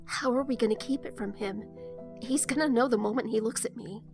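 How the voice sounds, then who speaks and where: A woman's voice: on the high side, brisk, vocal fry, music in the background. A woman acting in an audio drama as an earnest, uneasy teenage daughter, hesitant, subdued and confessional.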